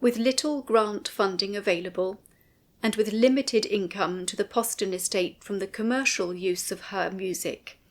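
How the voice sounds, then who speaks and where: A woman reads a scripted documentary commentary in a measured, formal way. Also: mid-range voice. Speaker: narrator and writer of an audio commentary.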